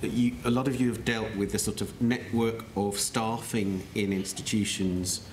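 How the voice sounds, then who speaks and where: A man moderating a panel discussion, asking halting, hesitant questions. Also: level tone, a little mellow. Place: large conference room. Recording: echoey room, faint rumble.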